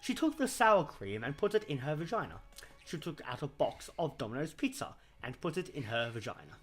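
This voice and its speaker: A man's voice: a little high, sing-song, brisk, a bit bright. A man narrating a comedic story reading in a theatrical, mock-dramatic manner. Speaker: fan fiction reader.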